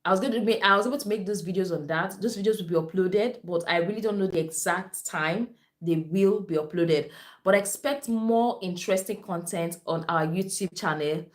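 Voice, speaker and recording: A woman's voice: medium pitch, normal speed. Nigerian woman hosting a live stream. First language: Esan. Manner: repetitive, addresses viewers directly. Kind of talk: livestream reading viewer comments.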